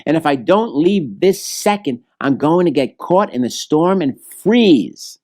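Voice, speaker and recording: A man's voice: a little high, lively intonation, a little slow, darkish tone, pitched above the performer's own voice. A man voicing a small, anxious, kindhearted bluebird who protests earnestly in a children's storybook. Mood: frightened, urgent. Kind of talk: children's storybook read-aloud.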